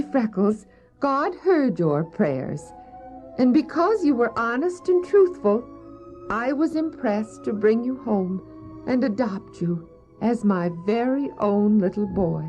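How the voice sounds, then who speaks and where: A woman's voice: on the high side, dark tone. A woman playing a kindly, pious, wealthy lady in an audio drama, speaking tenderly and earnestly. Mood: devout. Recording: muffled old cassette drama, faint music.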